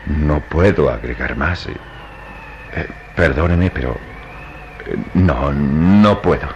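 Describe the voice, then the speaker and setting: A man playing a grave, reticent priest in a radio drama, speaking in a measured, solemn and hesitant way. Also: a bass voice, darkish tone, lo-fi sound, a little hiss or hum, full character voice. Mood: evasive, hesitant. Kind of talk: Latin American radio drama acting.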